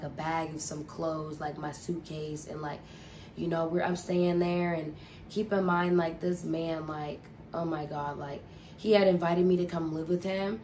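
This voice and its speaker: A woman's voice: deep voice, little pitch movement, moderate pace, a little mellow, slight background noise. A young woman telling a casual storytime, rambling and profane with lots of filler words. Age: young adult. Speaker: vlogger.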